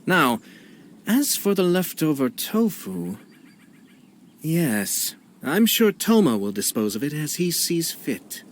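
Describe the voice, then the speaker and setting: A man's voice: fairly high pitch, sing-song, slight background noise. A man playing a refined, courteous and sly young nobleman in a video game, formal and playful. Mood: amused, composed. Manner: formal, measured phrasing, playful. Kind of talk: polished video game acting.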